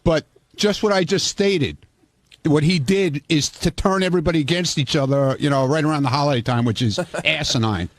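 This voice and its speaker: A man's voice: a little high, fairly quick, dry recording. A man on a radio show arguing bluntly and irritably, with plenty of profanity. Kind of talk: heated on-air banter.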